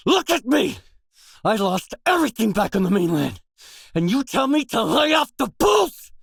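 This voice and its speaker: Weary cynical game stalker, low husky voice, slow delivery, terse, sardonic, gruff. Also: male voice, dry recording, clean studio sound, heavily acted. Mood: angry, heated. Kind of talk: video game voice lines, dramatic acting.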